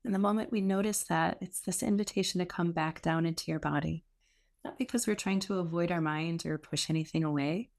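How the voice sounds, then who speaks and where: Low-pitched woman guiding a meditation. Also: gravelly. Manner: unhurried, gentle instruction with pauses. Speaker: meditation teacher. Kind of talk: guided meditation.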